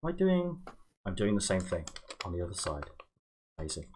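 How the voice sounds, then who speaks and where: Man, animated intonation, casual livestream host, self-muttering while concentrating, noisy background. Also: low-pitched, brisk. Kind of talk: casual chat while folding origami and reading instructions. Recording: clear background noise.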